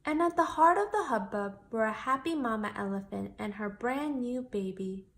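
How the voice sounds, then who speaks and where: Lady with a highish voice reading a kids picture book out loud, storytime style. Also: lively intonation, normal speed, slight background noise. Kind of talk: expressive read-aloud narration for children.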